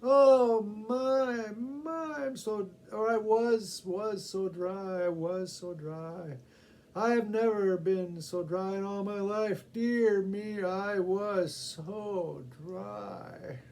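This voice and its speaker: Man putting on a high, wailing thirsty woman. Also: darkish tone, exaggerated voice. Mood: plaintive whining. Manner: drawn-out, repetitive, sing-song lament. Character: very thirsty woman passenger, whining a perpetual complaint.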